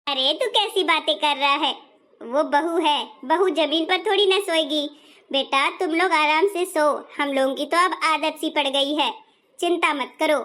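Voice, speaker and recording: A woman narrating a family cartoon story in a plain, even tone and also voicing the mother. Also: high-pitched, a little slow. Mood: gentle. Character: older Indian mother, gentle and doting.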